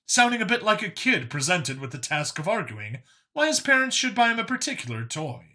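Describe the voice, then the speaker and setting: A man's voice: high-pitched, big pitch swings, a little fast, pushed and bright. A man reading scripted story narration as an audiobook narrator.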